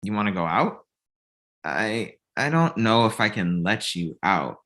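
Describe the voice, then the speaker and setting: A man's voice: lowish voice, sing-song, a little slow, a bit bright. A man playing an anxious, bewildered young roommate in a comedy table read. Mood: frightened.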